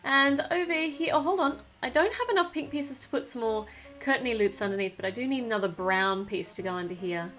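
A woman's voice: fairly high pitch, a little fast, a bit bright, faint music behind. A woman casually narrating a toy build at a table, thinking aloud and gushing over the cute little details.

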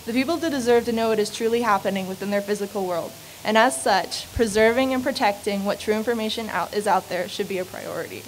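A woman's voice: mid-range voice, fast talker, hardly any pauses. Young American woman reading, hissy recording. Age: late teens. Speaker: student essay contest winner. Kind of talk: reading an essay aloud. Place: outdoor podium on building steps. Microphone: stand microphone at podium. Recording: clear hiss behind voice.

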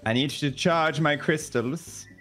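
British woman streamer, deep low voice, playful, faint music behind. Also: a little slow, fairly bright tone. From United Kingdom. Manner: chatty, playful teasing. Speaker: streamer playing with friends. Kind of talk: casual gaming chat.

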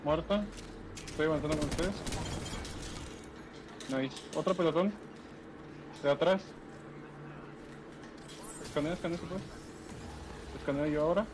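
Man barking quick game callouts. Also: on the high side, noisy background. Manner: terse, urgent tactical calls. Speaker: gamer streamer. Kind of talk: quick team callouts during play.

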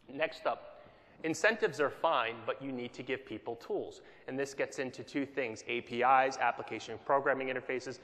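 A mid-pitched man delivers a business keynote from a stage. He sounds conversational rather than formal and keeps checking in with the audience. His voice rings out with a long reverb that fills the conference hall. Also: fairly bright tone. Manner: conversational, checks in with "right?" often. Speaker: keynote speaker.

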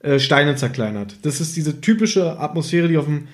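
A man with a slightly high-pitched voice talks as a podcast co-host. He casually reviews and retells, as if working through his own notes. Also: lively intonation, moderate pace.

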